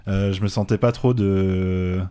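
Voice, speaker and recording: A young man's voice sits very low, his answers halting and full of fillers as he explains his band's work. He talks casually, restarting his sentences as he searches for words. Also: fairly even intonation, warm tone, smooth. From France. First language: English. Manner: hesitant, many fillers and restarts. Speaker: band singer, interview guest. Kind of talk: casual radio interview.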